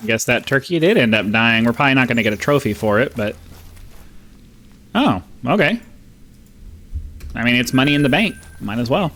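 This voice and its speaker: A man's voice: mid-range voice, expressive, normal speed, pauses often, a bit bright. Man casually commentating on a hunting game. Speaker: gaming video host. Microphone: close microphone.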